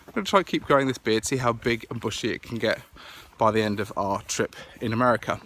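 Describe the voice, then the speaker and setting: Bright-voiced croaky British man vlogging outdoors. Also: medium pitch, fast talker, a little hiss or hum. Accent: British English. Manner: chatty, thinking aloud with many fillers. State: croaky with a cold. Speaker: family vlogger. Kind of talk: casual vlog to camera. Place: outdoors in a large garden and meadow. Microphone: phone camera held at arm's length.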